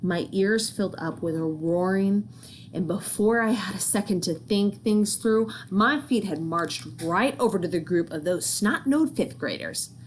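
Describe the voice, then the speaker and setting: A woman reading a children's novel aloud, telling it in the first person in an expressive, folksy manner. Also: mid-range voice, a little slow, low-quality recording. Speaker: book reader. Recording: faint background noise.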